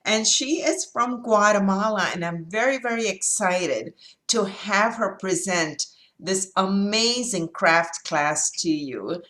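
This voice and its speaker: A woman moderating a video call class, making measured announcements and introducing the instructor. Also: medium pitch, lively intonation, a bit bright. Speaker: event moderator.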